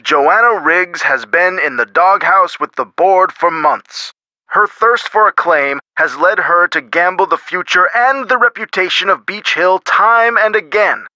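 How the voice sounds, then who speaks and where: An actor playing a genial, avuncular middle-aged lawyer in a video game, talking in a chummy, theatrical way with folksy turns of phrase. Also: male voice, high-pitched, a little slow, bright, forceful tone, no echo, exaggerated voice, low-quality recording. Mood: stern.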